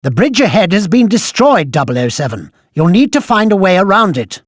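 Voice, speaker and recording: An actor playing an exasperated older British gadget quartermaster in a video game, formal, precise and scolding. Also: male voice, high voice, big pitch swings, brisk, no room sound, clean studio sound. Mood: urgent instruction. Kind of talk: video game mission briefing.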